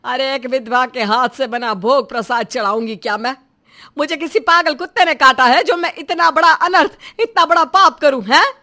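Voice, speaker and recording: A woman voicing a harsh, contemptuous older Indian mother-in-law in a melodramatic audio story, berating in a shrill manner. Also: a little slow, pushed and bright. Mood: furious.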